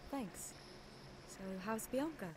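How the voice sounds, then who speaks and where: A woman's voice: fairly high pitch, expressive, slow pace, background noise. An actress playing a friendly, inquisitive young village woman, casual and polite in a game scene. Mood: friendly, curious. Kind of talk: acted game cutscene dialogue.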